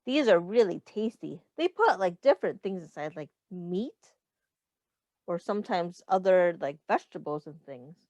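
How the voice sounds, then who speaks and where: A woman teacher reading a picture book aloud to young children, explaining in simple words, asking questions and pausing for answers. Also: fairly low pitch, lively intonation, darkish tone, dry recording. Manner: addresses children directly, pausing for their answers.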